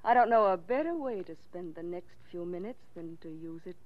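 An actress playing a vengeful, unstable murderous widow with intense, theatrical 1940s radio delivery. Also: female voice, fairly low pitch, animated, brisk, natural voice. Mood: cold menace. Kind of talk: 1940s radio melodrama acting. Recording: dull 1940s radio recording.

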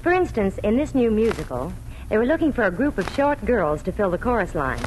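A woman's voice: medium pitch, big pitch swings, brisk, darkish tone, slight background noise, poor audio. A woman giving scripted, chatty Hollywood reports and advertising on 1940s radio. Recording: old 1940s radio broadcast recording.

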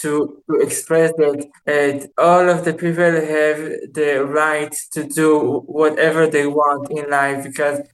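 Male guest, Israeli-accented English from a Hebrew speaker, unhurried and halting with stammered repeats, fairly high register. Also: little pitch movement, low-quality recording. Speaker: musician, interview guest.

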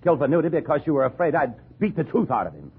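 A man's voice: a little high, very animated, rapid-fire, a little hiss or hum. An actor playing a bitter, driven framed man in a 1950s radio thriller, speaking in a terse, brooding, intense way. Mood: angry, accusing. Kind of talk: 1950s radio thriller acting and first-person narration. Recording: muffled vintage radio, music behind.

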